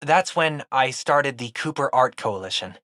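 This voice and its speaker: A man's voice: medium pitch, expressive, a little slow, fairly bright tone, no echo, clean studio sound. A young man playing a geeky, upbeat teenage boy in a video game, a loyal best friend with a casual, wry, chatty way of talking. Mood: earnest. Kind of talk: video game companion dialogue.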